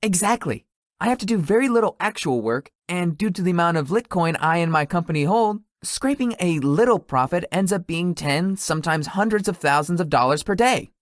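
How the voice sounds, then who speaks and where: A man playing a lazy, awkward young tech founder, lively pitch. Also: brisk, no echo, studio quality. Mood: matter-of-fact. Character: Sloth, lazy, sweet-toothed young male tech company founder. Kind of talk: visual novel character dialogue.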